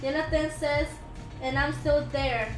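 A young woman chatting casually as a live stream co-host, giggly and a little halting as she reads comments aloud. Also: high-pitched, fairly even intonation. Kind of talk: casual chat. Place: indoor room, live stream. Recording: roomy sound, loud music behind. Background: music.

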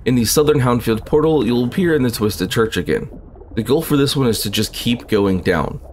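A man's voice: mid-range voice, animated, normal speed, a little hiss or hum. A man reading a scripted video game walkthrough in a plain, instructional way. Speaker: gaming guide narrator. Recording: faint game ambience behind voice-over.

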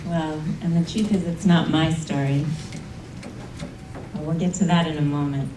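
A woman speaks to a conference audience in an earnest, storytelling way, pressing hard on key points. Her voice sits a little low. The sound is roomy and distant, with a faint hum of the hall behind her. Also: fairly even intonation, normal speed. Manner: earnest, storytelling, emphatic at times. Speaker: panel speaker. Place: conference room.

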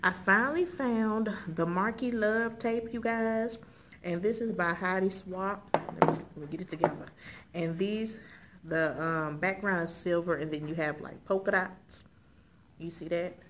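A woman's voice: fairly low pitch, measured pace. A middle-aged woman with an American accent, chatting casually and folksily to viewers as she presents items, often checking in with short tags. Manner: folksy, conversational, frequent check-in tags like okay and all right. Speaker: haul video host. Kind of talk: casual chat showing purchases to viewers. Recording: clearly noisy room recording.

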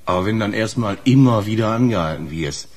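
A gruff, wary truck driver in a radio crime play. His husky voice rises and falls freely as he talks in blunt, slangy, colloquial phrases. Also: lowish voice, expressive, a little fast. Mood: sour, grumbling. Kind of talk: naturalistic German radio crime drama.

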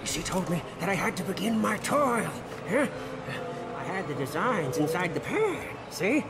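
Game role: fanatical tinkerer, very high, animated, stammering zealot, theatrical and reverent. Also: male voice, moderate pace, loud music behind the voice. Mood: agitated, excitable. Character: manic, zealous hunchback mechanic who worships an engine angel. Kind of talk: video game character acting.

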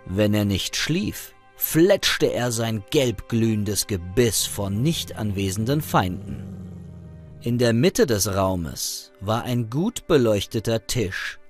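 Ein Mann spricht einen verbitterten, wilden, chirurgisch veränderten Falken, der in einem Horror-Hörspiel ernst und literarisch seine Geschichte erzählt. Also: male voice, lowish voice. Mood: grim, watchful. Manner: grave, deliberate, literary storytelling. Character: captive, surgically altered merlin falcon, embittered and savage. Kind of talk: first-person scripted horror audio drama narration.

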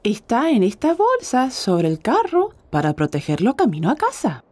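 Cheerful young cartoon mother, gentle, mellow tone, very animated. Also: fairly high pitch, normal speed. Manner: gentle, expressive. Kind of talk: children's cartoon dubbing.

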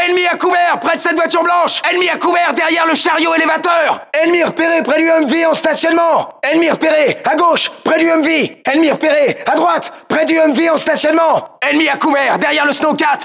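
An actor voicing an urgent special forces soldier shouting clipped, forceful battle callouts in a video game, with a gravelly voice. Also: male voice, very high voice, full character voice. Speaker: game voice actor in a French dub.

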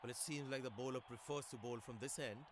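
A man playing a keen, excitable cricket commentator in a video game, speaking scripted commentary. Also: medium pitch, fast talker, heavy background noise, natural voice. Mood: measured, analytical. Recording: loud game stadium ambience behind. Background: game ambience, ball knocks.

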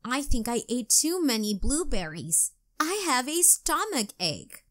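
A woman voicing a little girl in a children's storybook, in an expressive, childlike way. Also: sits high, a little slow, heavily acted. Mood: groaning.

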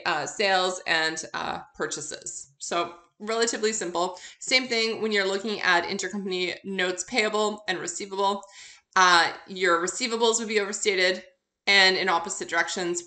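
A woman's voice: fairly low pitch, bright, forceful tone, echoey room. A woman instructor giving an informal recorded lecture, explaining in a conversational way and posing questions to the listener. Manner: conversational, poses questions and answers them. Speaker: university accounting instructor.

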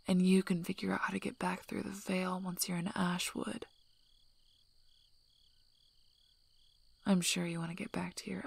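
Lady doing a gruff protective werewolf alpha for asmr, lowish whispery voice with lots of fry, dry n teasing. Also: no room sound, heavily acted. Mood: stern, irritated. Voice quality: breathy, whispery, husky. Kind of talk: soft-spoken ASMR roleplay.

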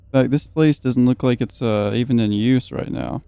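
A man's voice: mid-range voice, normal speed, creaky, dark tone, clean tone, no room sound. A young man giving casual let's play commentary, joking and swearing and at times reading game text aloud. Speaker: gaming video host. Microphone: close, dry microphone.

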